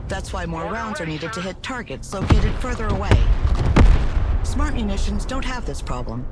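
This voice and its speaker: A woman's voice: medium pitch, animated, moderate pace, over loud music, close to an everyday voice. A woman playing a composed army major who explains weapons formally in a scripted video game. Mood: instructive. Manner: formal, explanatory military briefing. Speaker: military officer and instructor.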